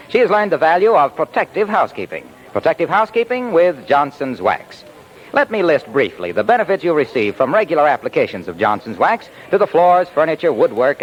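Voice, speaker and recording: Animated 1940s radio pitchman, dull old recording. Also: slight background noise. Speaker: announcer reading a sponsor's advertisement. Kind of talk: 1940s radio commercial, scripted and polished.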